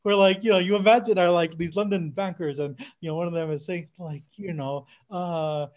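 A man's voice: high voice, steady tone, a little fast. A man casually retelling anecdotes in a hesitant, fragmented way, often searching for his words. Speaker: podcast guest or co-host discussing a film.

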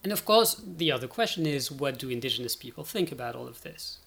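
A man's voice: medium pitch, lively intonation, fast talker, gravelly, a bit bright. A man speaking as an academic guest expert, explaining politics analytically and often checking in as he goes.

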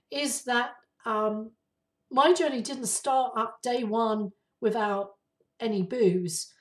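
Raspy-voiced woman podcaster, a bit off-mic. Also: on the high side, recorded from a distance. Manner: hesitant, many ums and uhs. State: hoarse from flu. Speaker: podcast host. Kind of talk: casual solo podcast talk.

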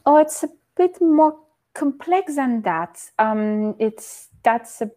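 Woman, researcher guest; higher voice, mellow tone; thoughtful, searches for words. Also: animated, takes it slowly. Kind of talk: conversational podcast interview.

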